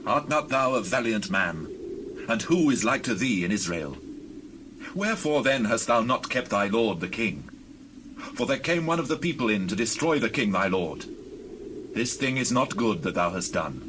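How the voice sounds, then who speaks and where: An actor playing an earnest, pious fugitive warrior in a dramatized scripture, speaking in solemn, formal, declamatory archaic phrases. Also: male voice, on the low side, expressive, normal speed, fairly bright tone, slight background noise, poor audio. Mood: reproachful, challenging. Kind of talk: dramatized scripture audio acting.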